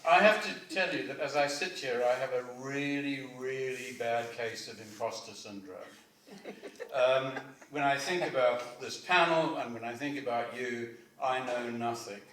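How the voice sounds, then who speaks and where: A man's voice: mid-range voice, a little slow, fairly bright tone, a little hiss or hum. A man speaking on a panel, a film director telling anecdotes in a self-deprecating, chatty way. He is picked up from well back in a large hall, with a long, heavy echo around his voice. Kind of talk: panel discussion talk. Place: lecture hall. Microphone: room mic from a distance. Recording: echoey hall from far off.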